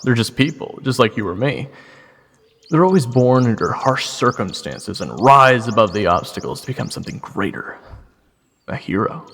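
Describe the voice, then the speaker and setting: A man playing a cocky, grumbling young scout and would-be hero, sarcastic and excitable, in an audio drama. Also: mid-range voice, moderate pace, husky, exaggerated voice. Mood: wry, reflective. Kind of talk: audio roleplay drama acting with narration. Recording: long reverb, music under the voice.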